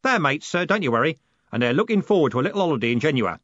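Brief: male reader voicing a bold showman coachman, raised pitch, eager, dull dry audio. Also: sing-song, racing, fairly bright tone, dry recording. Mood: boastful. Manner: eager, boastful.

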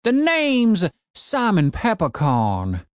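Male reader as grumpy old rural man, gruff drawl, pitched up, slow. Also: huge pitch swings, no room sound, studio quality, full character voice. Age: older. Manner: gruff, drawling backwoods grumbling. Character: cranky old rural man who keeps zombies.

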